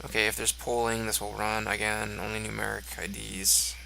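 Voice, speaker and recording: A man's voice: on the low side, monotone, normal speed, vocal fry, pushed and bright, a little hiss or hum, rough recording. A congested man thinking aloud as he walks through code on screen, musing and offhand. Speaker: code reviewer. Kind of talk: screen-recorded code walkthrough.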